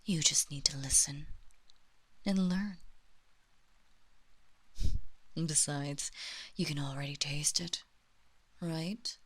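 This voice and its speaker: Female performer for a vampire lady, audio roleplay. Lowish pitch, unhurried deliberate delivery, imperious and seductive, breathy whispered touches. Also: fairly bright tone, heavily acted. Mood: taunting. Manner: slow, commanding, deliberate, with drawn-out words and breathy whispers. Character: haughty, seductive female vampire lord, mistress of a great house. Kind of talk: roleplay audio drama acting.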